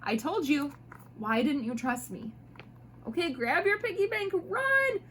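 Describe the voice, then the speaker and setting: High-voiced woman reading a children's storybook. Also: measured pace, slight background noise. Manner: expressive, playful, addressing young listeners. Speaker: mother reading picture books aloud to children. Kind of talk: animated storybook read-aloud.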